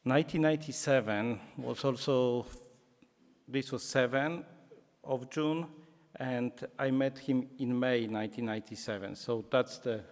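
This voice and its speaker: A man with a Polish accent giving a public lecture, speaking deliberately and slipping in dry jokes. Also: mid-range voice, fairly even intonation. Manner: slow, deliberate, joking asides. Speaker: guest lecturer. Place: lecture hall. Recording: reverberant lecture hall.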